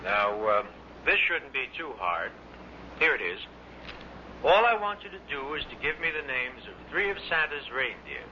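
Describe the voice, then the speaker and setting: A male quiz host with a very bright, sharp voice at medium pitch talks a contestant through a question. The old radio recording is dull, with faint noise. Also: very animated, moderate pace. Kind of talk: audience participation quiz hosting.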